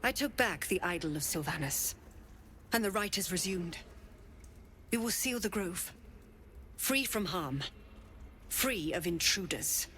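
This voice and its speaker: A woman's voice: lowish voice, lively intonation, a bit bright, a little hiss or hum. A woman playing a stern, cold druid leader in a video game, making solemn, unyielding pronouncements. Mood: cold resolve. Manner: slow, solemn, imperious pronouncements. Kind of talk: dramatic video game acting.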